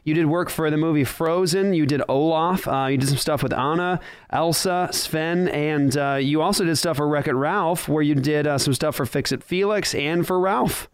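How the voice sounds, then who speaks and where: A man's voice: a little high, normal speed, few pauses, clean tone, no room sound. Brief: male talk-show host, casual and filler-heavy, clean close sound. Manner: rambling, filler-heavy, jokey. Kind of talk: casual chat.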